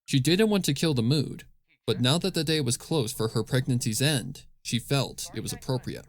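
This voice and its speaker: A man's voice: medium pitch, normal speed. A man reading scripted fan fiction as the narrator of a story.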